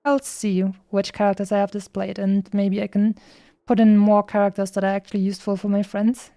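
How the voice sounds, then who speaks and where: A woman game streamer talks casually in a mellow, medium-pitched voice. She rambles and drops playful asides. The recording is dry and close. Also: level tone, a little fast, dry recording. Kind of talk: casual livestream chat.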